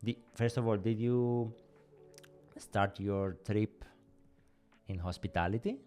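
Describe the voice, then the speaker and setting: A man's voice: on the low side, fairly even intonation, slow pace, a little mellow. A man with a Greek accent hosting a casual interview, hesitant, with many fillers and frequent laughs. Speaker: podcast host.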